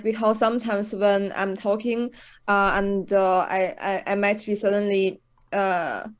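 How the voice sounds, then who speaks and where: A woman learning English, speaking hesitantly and searching for words. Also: mid-range voice.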